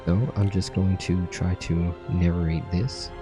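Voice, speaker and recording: A man's voice: low-pitched, flat delivery, slow, music in the background. A man hosting a gaming channel, casually narrating and commenting as he plays through a game.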